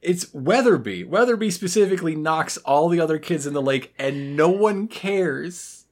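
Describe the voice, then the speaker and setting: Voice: medium pitch, lively intonation, moderate pace. A podcast co-host casually recapping a story, with dry asides and acted-out quotes. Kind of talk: casual, wry episode recap.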